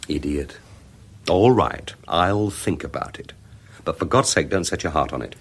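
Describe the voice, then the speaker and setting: An actor playing a sceptical but loving young British husband in a radio drama, exasperated and dryly sardonic. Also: male voice, extremely low pitch, lively intonation, normal speed, slightly rough, exaggerated voice. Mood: grudging. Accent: British English.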